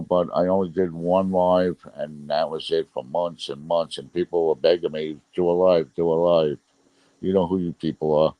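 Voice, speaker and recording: A man's voice: sits low, warm tone, no room sound, poor audio. A middle-aged man with a Brooklyn New York accent, chatting casually on a livestream, reading the chat and rambling with frequent laughs. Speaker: livestream host.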